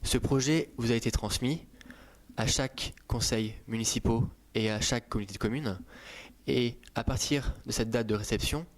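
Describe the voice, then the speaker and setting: A man with a medium-pitched voice gives a formal official briefing. He sets out each point methodically and in order. His sentences are complete and administrative in register. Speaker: government official.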